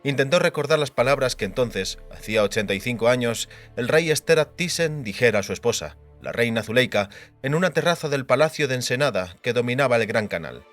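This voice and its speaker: A man's voice: mid-range voice, lively intonation, a little fast, fairly bright tone, soft background music. A man narrating a literary audiobook from a script.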